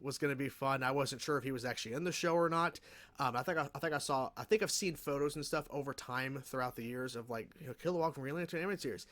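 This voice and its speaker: A man's voice: medium pitch, a bit bright. A man hosting a reaction video, giving casual commentary in a rambling, self-correcting way with frequent hedges. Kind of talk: casual review commentary on a cartoon episode.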